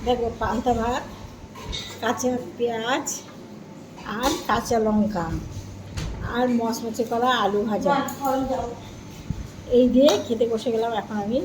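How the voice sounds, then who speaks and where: A woman's voice: a little high, steady tone, a little slow, pauses often. Female home vlogger, casual and chatty, repeats herself and quizzes viewers; roomy echo, noisy recording. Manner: repeats phrases, addresses viewers with questions. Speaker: home cooking and eating vlogger. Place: indoors at home. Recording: echoey room with clear background noise.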